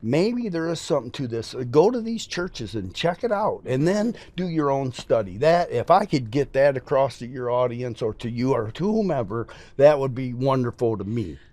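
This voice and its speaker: A man's voice: mid-range voice, expressive, normal speed, few pauses, darkish tone, no echo. A man, a preacher talking earnestly and emphatically as a podcast guest. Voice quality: scratchy voice. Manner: earnest, emphatic, folksy storytelling. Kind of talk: casual interview talk.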